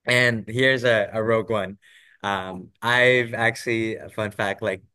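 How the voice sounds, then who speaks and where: Young guy from thailand on a video call, low smooth voice, lots of ums. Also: steady tone, a little slow, sharp tone, no echo. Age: 20s. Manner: hesitant, many ums and restarts. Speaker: portfolio presenter. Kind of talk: casual presentation.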